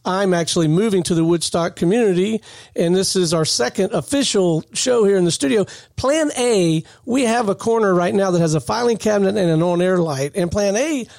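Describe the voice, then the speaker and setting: A man hosts a radio interview in a studio, chatting easily and steering the guest with questions. His voice sits notably high for a man. The studio sound is dry and close. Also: a little fast, vocal fry, a little mellow, dry recording. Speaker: radio show host.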